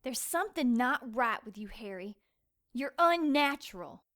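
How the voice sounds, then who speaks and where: A woman's voice: a little high, animated, measured pace, a bit bright. A woman playing a suspicious, frightened young small-town woman in an audio drama, speaking in an accusatory, confrontational way. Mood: accusing, nervous.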